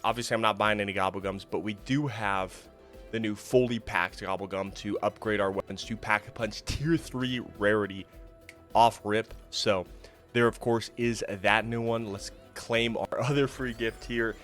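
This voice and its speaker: Male gaming creator, low voice slightly worn from illness, chatty think-aloud commentary, soft music bed. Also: fairly even intonation, moderate pace. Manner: chatty, thinking aloud while browsing menus. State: recovering from illness, voice a bit rough. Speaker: gaming YouTuber. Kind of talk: casual gameplay commentary.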